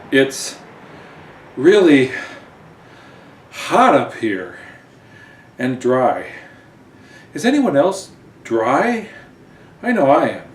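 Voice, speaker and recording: Man acting haughty undersea queen, roomy sound. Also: medium pitch. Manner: regal, formal, emphatic. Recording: roomy, distant mic, faint noise.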